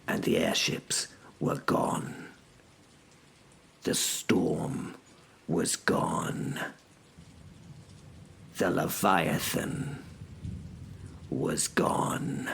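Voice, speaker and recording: An actor playing a man worn out from holding the abyss open, narrating a horror audio drama in a grim, hushed voice that often drops to a whisper. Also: low-pitched, very animated, drawn-out words, creaky, pauses often, breathy edge, heavily acted. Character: exhausted man who held the abyss open.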